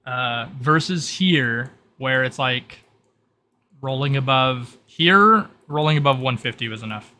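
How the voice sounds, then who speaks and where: Bright-voiced man running a tabletop game. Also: medium pitch, expressive, unhurried. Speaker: game master. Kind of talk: narrating and briefing a tabletop game.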